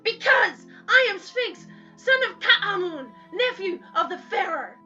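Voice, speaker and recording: A woman's voice: extremely high pitch, sing-song, unhurried, bright, forceful tone, pitched above the performer's own voice, heavily acted. A woman voicing an eager, assertive young boy, a royal lion-like character in a story, on a lo-fi recording with music. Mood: defiant. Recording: dull lo-fi recording under music.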